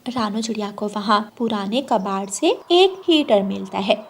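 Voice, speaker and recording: A woman's voice: medium pitch, animated, normal speed, slight background noise. A woman reading scripted narration for a children's cartoon moral story.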